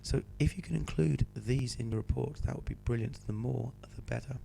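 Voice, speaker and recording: A male teacher with a cold, hoarse and croaky, patiently talking students through a homework sheet. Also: medium pitch, a little fast, mellow, a little hiss or hum. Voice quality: croaky, slips into whispery breaks. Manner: patient, explaining step by step. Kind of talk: homework help walkthrough.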